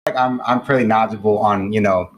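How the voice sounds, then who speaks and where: A man's voice: lowish voice, fairly even intonation, fast. A man hosting a casual podcast interview, talking in a hesitant way full of fillers. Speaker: podcast host.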